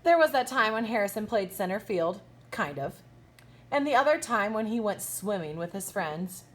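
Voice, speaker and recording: A woman kindergarten teacher reading a picture book aloud expressively, pausing to ask young listeners questions. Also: medium pitch, animated. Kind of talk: expressive children's storytime read-aloud with asides.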